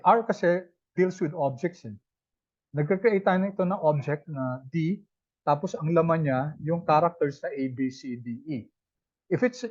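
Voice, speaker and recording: A male instructor with a Filipino accent talks his students through each step of an online class, slightly higher-pitched than most men. He repeats himself patiently and keeps checking that everyone follows. The sound is muffled, lo-fi call audio. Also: darkish tone. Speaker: college instructor. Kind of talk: online class lecture, Taglish code-switching.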